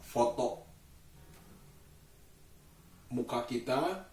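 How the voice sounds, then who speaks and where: Guy w ish doing a casual vlog, talks real slow n halting, echoey and kinda far from the mic. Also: medium pitch, a little mellow. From Indonesia. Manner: halting and deliberate, with drawn-out pauses. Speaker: daily vlogger and host. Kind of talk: casual solo vlog talk to camera. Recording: roomy echo, distant microphone.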